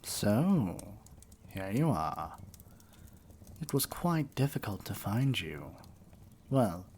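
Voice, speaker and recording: A man's voice: lowish voice, a little mellow, a little hiss or hum. A man acting as a haughty, menacing evil sorcerer king in an ASMR roleplay, speaking in a pompous, theatrical and condescending way. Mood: smug, silky.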